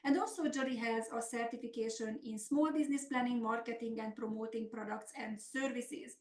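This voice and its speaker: A woman's voice: a little high, fairly even intonation, a little fast, darkish tone, reverberant. Hungarian-accented woman presenting a business webinar. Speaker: business coach hosting a webinar. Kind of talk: semi-scripted webinar presentation.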